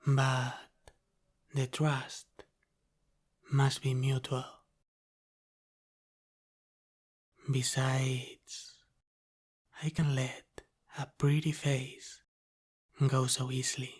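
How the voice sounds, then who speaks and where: Man playing a slow, hushed, teasing mafia boss. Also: mid-range voice, monotone. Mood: reassuring, protective. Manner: slow, deliberate, hushed, slipping into whispers. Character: teasing, protective Italian-American mafia boss, calmly in control. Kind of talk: ASMR roleplay, intimate and soft-spoken.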